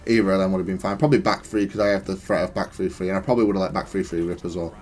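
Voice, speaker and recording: A man's voice: low voice, flat delivery, rapid-fire. A man giving matter-of-fact, analytical commentary on gameplay, thinking aloud in a stop-start way. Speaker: gamer explaining own match replay.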